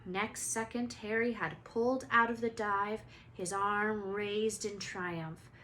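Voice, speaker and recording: A woman's voice: medium pitch, fairly even intonation, slow, bright, forceful tone. Woman reading a storybook aloud, noisy recording. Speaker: teacher reading a book aloud. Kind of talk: expressive storybook read-aloud.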